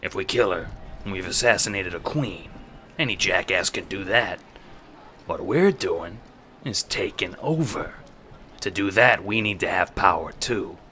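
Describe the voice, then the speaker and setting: A man doing a slow, hypnotic mob schemer. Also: low voice, expressive, pushed and bright, a little hiss or hum, full character voice. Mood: scheming. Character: smooth, slow-talking male mob schemer.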